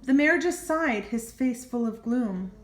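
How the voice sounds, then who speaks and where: Slow-reading older woman, expressive storyteller. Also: fairly high pitch. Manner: expressive, exclaiming, gasping. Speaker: grandmother reading a picture book to children. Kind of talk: animated read-aloud storytelling with chatty asides. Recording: roomy, echoing home recording.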